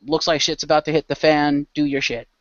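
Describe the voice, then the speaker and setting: Higher-voiced man narrating, dull lo-fi audio. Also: level tone, fairly quick, no echo. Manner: informal, mildly profane. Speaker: game master. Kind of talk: casual improvised narration of a tabletop-style role play.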